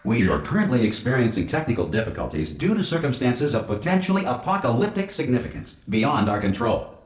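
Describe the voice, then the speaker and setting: An actor voicing a cheerful, formal pre-recorded facility announcer who delivers dark warnings deadpan. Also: male voice, medium pitch, brisk, lightly acted. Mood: chipper. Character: calm male pre-recorded facility announcer, chipper, corporate. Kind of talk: video game voice acting. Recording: echoey PA announcement with music.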